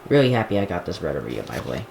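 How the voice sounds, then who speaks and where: A man chatting casually and haltingly to himself as a hobbyist vlogger handling a device, recorded indoors. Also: low voice, big pitch swings, a little mellow. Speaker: hobbyist vlogger unboxing gadgets. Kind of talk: casual unscripted chat while handling a device. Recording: echoey room, clear background noise.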